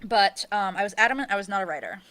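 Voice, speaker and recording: A woman's voice: medium pitch, fast, sharp tone. An American woman chatting casually as an interview guest, wry and often interrupting herself as she tells stories. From United States. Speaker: interview guest, audio drama writer and producer. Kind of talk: casual chat.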